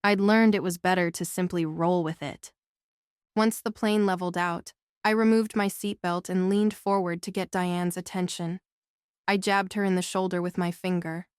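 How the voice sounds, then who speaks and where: A woman's voice: lowish voice, steady tone, normal speed, clean tone, no room sound, studio quality. A woman reading scripted first-person novel narration as an audiobook narrator.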